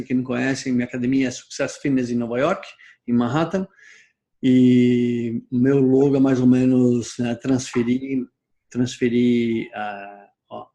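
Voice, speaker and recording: A man's voice: mid-range voice, mellow. A man who runs a gym, talking casually and in a rambling way, a bit rusty in the language. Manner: rambling, says he is out of practice with the language. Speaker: gym owner, trainer. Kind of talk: casual discussion.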